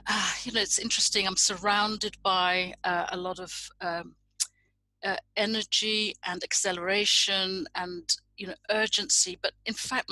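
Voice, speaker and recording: A woman's voice: fairly low pitch, pushed and bright, no room sound, poor audio. A middle-aged woman from Indonesia, a guest in a reflective conversation, speaking thoughtfully as she searches for her words.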